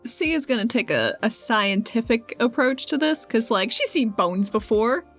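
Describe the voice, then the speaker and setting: A woman's voice: fairly high pitch, very animated, measured pace, fairly bright tone, soft background music. Casual woman playing a tabletop game. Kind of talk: casual roleplaying game chat.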